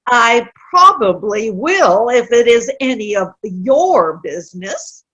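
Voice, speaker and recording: A woman's voice: on the high side, sing-song, bright, forceful tone, husky, dull audio, heavily acted. A woman playing a querulous, sour old woman who complains about her pains in a comic play reading. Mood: defensive, fussy. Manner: querulous, slow, dramatic complaining. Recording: video call play reading.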